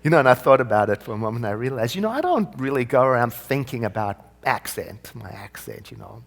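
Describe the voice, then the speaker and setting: A man's voice: medium pitch, expressive, fast talker, vocal fry. A man from South Africa with a South African accent, giving a sermon as minister in a conversational, storytelling way with frequent asides.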